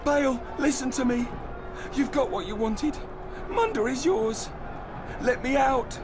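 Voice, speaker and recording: A man's voice: extremely high pitch, slow pace, music in the background. An actor playing a weak, condemned tribesman in a video game, pleading desperately. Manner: desperate, begging. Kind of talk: dramatic video game acting.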